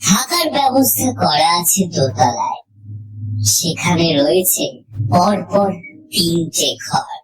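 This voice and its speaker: Woman, lowish voice, very slow pace, scripted story narration, measured pauses. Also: big pitch swings, a crowd in the background, rough recording. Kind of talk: scripted horror story narration, first person.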